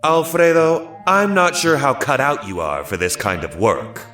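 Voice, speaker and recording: An actor playing a contemptuous, bullying Nationalist soldier in an audio drama, sneering and deliberate. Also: male voice, medium pitch, animated, fairly bright tone. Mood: mocking. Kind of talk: dramatized audio story acting. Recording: roomy echo over background music.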